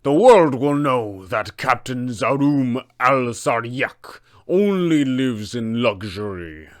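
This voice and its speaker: Tabletop villain: man as boastful, treasure-hungry pirate captain, slow grandiose delivery, smooth and dry. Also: mid-range voice, lively intonation, dry recording. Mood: gloating, greedy. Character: greedy, boastful pirate captain with a magical eye.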